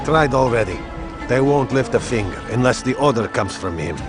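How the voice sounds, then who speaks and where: An actor playing an earnest, aggrieved medieval merchant in a video game, complaining with emphasis. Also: male voice, medium pitch, lively intonation, normal speed, over music, natural voice. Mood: frustrated. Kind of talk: dramatic video game dialogue.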